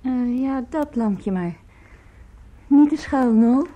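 Tired mum in a radio play, husky and really mellow, slow and halting. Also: female voice, fairly high pitch, lively intonation. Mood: drowsy, evasive. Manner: hesitant, halting. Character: weary middle-aged mother, drowsy, evasive, downplaying her trouble. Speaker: actor. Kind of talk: radio drama acting.

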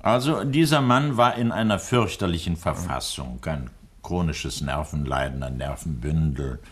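An actor playing an older, courteous and worried doctor in a 1960s German radio drama, speaking in a measured, hesitant way. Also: male voice, a bass voice, slightly rough. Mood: storytelling, courteous.

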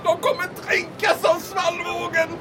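An actor playing an excitable, melodramatic naval man in an audio drama, panicky and lamenting. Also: very high-pitched, crowd noise. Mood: frantic. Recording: distant mic, noisy crowded outdoor scene.

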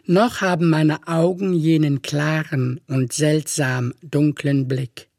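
A woman reciting lyrical poetry with measured, solemn phrasing. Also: low voice, steady tone, a little mellow, dry recording. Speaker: poetry reader. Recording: dry studio recording over music. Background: music.

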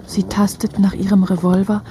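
An actress playing an intense narrator in a literary radio drama, deliberate and suspenseful, with breathy delivery. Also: female voice, mid-range voice, level tone, dark tone, slight background noise, close to an everyday voice. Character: intense female narrator shadowing a hunted woman's every step.

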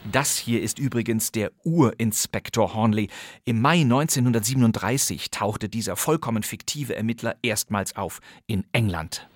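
A man's voice: on the low side, moderate pace, no echo. A man presenting a scripted but conversational introduction as a podcast host, playfully addressing his listeners directly.

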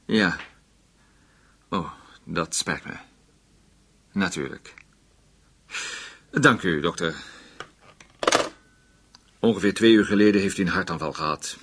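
An actor playing an authoritative, methodical police chief detective in a radio drama, with an insistent manner and a husky voice. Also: male voice, deep voice, slow pace, long pauses, a bit bright, a little hiss or hum, full character voice. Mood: measured, probing.

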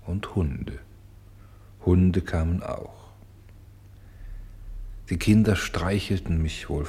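Alter, bedächtiger Bordstein mit tiefer Stimme. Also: male voice, rumbling low, full character voice. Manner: measured, hushed, dropping to whispers. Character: old weathered kerbstone, slow, pensive, humble narrator. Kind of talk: literary radio play monologue.

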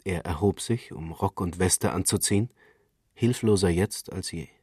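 A man with a very low, rough-edged voice narrates literary fiction. He reads in a deliberate, measured storytelling way, captured close and dry with no room sound. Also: dark tone, dry recording. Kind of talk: literary scripted narration, radio reading.

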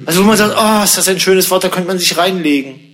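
A man's voice: sits high, fast, a bit bright. A man improvising banter in a radio play, hesitant and rambling, often repeating himself. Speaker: radio host. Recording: music behind the voice. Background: music.

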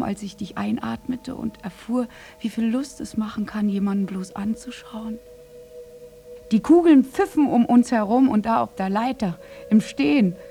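An actress playing a war-scarred, lovestruck young prostitute in a German radio drama monologue, speaking intimately and haltingly between breathy hush and intensity. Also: female voice, medium pitch. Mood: intimate, aroused.

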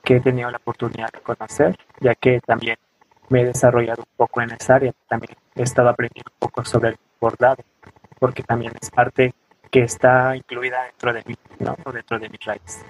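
A man's voice: medium pitch, little pitch movement, brisk, slight background noise, poor audio. Young Mexican man in conversational interview. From coastal Oaxaca, southern Mexico. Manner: explanatory, often ending phrases with a questioning tag. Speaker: podcast guest, student and weaver.

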